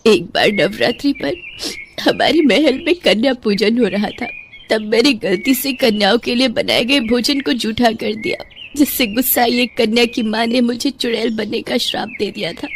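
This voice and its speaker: A woman's voice: fairly high pitch, moderate pace, a little hiss or hum, close to an everyday voice. A woman voicing a kindly, reassuring witch who was once a princess, in a cartoon bedtime story. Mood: sorrowful, earnest. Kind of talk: Hindi animated bedtime story acting. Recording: music behind the voice.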